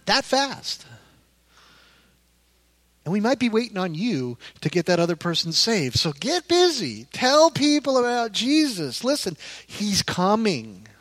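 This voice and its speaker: A man's voice: sits high, very animated, a little slow, fairly bright tone, no echo. A man in his fifties preaching an informal sermon as a pastor, conversational and emphatic, often repeating phrases for weight. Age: 50s.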